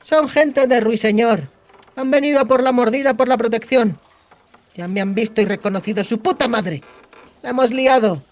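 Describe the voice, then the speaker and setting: A man's voice: extremely high pitch, moderate pace, pitched above the performer's own voice. A man voicing a brash, foul-mouthed, streetwise young woman who talks in cheeky slang. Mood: wary, rattled.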